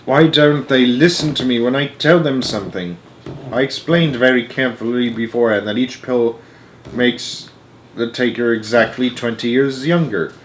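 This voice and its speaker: Man acting eccentric gentleman, storybook character, courteous, formal, unflappable, sighing exasperation. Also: mid-range voice, moderate pace, slight background noise, lightly acted. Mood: weary dismay.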